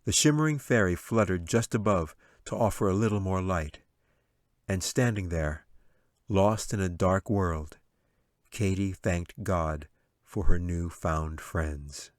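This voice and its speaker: A man with a low voice narrates a fantasy adventure as its author. The recording is dry and close, with a scripted storybook delivery. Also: slow, no room sound. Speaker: author reading own audiobook.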